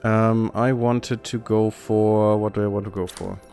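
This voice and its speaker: A man's voice: fairly low pitch, little pitch movement, measured pace, warm tone, smooth, soft background music. A man from Germany with a German accent, chatting casually and joking while co-playing a game over voice chat. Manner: jokey, self-mocking, rambling asides. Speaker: game co-player, programmer.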